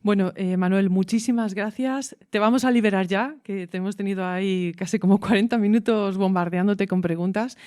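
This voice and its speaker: Mellow, clear woman interviewer from Spain. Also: medium pitch, fairly quick, few pauses, no room sound, clean studio sound. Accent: Spanish (Spain). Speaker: interviewer, teacher. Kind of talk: casual interview wrap-up.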